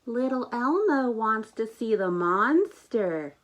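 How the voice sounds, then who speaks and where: Woman doing an eager, high-pitched little monster. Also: big pitch swings, slow pace. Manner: childlike, sing-song, talks about self in third person. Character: eager, cheerful, mischievous little male child monster Elmo.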